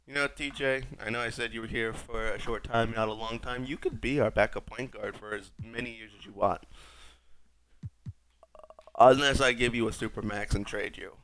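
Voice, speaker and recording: A young man with a raspy voice, sick, rambling wryly through casual gameplay commentary as a streamer. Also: medium pitch, fairly even intonation, moderate pace, fairly bright tone, slight background noise, low-quality recording. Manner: rambling, wry, self-mocking. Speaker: gaming streamer.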